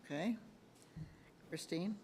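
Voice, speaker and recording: A man's voice: sits high, takes it slowly, darkish tone, a little hiss or hum. A man moderating a candidate forum, reading questions and giving short prompts. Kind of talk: moderating, brief.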